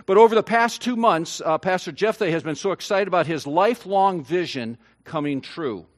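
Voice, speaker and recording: A man preaching to his congregation in a slightly raised voice. His delivery is earnest and often halting, full of fillers and restarts, then climbs to emphatic peaks. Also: high-pitched. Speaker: pastor. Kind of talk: sermon.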